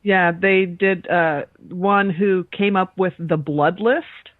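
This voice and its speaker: A woman's voice: fairly low pitch, slow pace, no room sound. A woman co-hosting a casual podcast chat, heard over a tinny call connection. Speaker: podcast co-host. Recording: tinny phone-like call audio.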